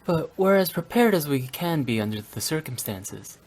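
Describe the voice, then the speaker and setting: A man's voice: a little high, big pitch swings, a little mellow. Courteous, composed young nobleman. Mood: resigned. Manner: measured, formal. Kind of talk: English video game voice acting.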